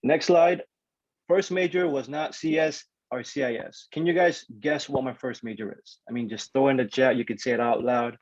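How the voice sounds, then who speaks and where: A young man from the Philippines, raised on Guam, whose first languages are Ilocano and Tagalog, giving a relaxed, chatty alumni talk over a video call with lots of fillers and restarts. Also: mid-range voice, moderate pace, dry recording. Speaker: alumni guest speaker. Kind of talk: casual presentation on a video call. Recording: dry, slightly dull call audio.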